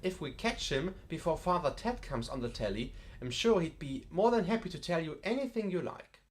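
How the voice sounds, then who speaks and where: A man's voice: fairly high pitch, sing-song, normal speed. A man playing a genial, wry museum curator in an audiobook drama, chatty and dryly joking with a macabre humour. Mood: matter-of-fact.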